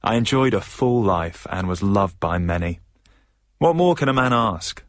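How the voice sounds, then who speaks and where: A fairly low-voiced man in a dry studio sound. He plays a wry comedian looking back, measured and reflective, in a radio drama. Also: animated, no echo.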